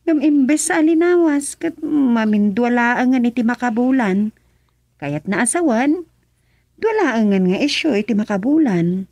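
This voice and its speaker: A woman's voice: on the high side, animated, a little slow, darkish tone, no echo. A woman with an Ilocano accent playing an earnest, opinionated village daughter in a radio drama. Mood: explaining. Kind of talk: radio drama acting, conversational.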